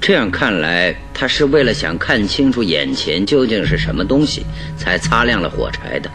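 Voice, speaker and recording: An actor in a radio drama playing a probing, methodical male detective with a deliberate, inquisitive delivery. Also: deep voice, sing-song, a bit bright, soft background music, exaggerated voice. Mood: probing, thoughtful. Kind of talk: old Chinese radio drama acting.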